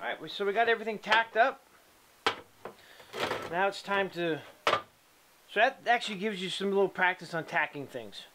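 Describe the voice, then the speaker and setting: A middle-aged man giving a casual tutorial from his home metal workshop, plain-spoken and relaxed, with ums and restarts. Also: sits high, normal speed, pauses often, very noisy. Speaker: hobby welder teaching a project.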